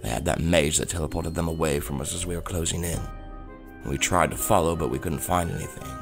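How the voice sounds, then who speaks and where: A man acting a protective, gentle but firm elf father in an audio drama. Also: very deep voice, fairly quick, vocal fry, music in the background. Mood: weary, frustrated.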